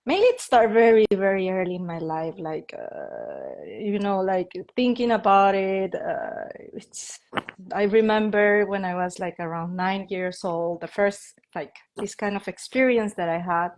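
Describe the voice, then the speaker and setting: A woman in her fifties speaks English with a Colombian Spanish accent. She rambles easily through her story, leaning on "like" and "you know" as she goes. Her voice sits at an ordinary middle pitch. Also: lively intonation, a little slow, a little mellow. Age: 50s. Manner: rambling, with frequent "like" fillers and light laughs. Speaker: interview guest, feng shui and Reiki practitioner. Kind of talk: casual interview storytelling.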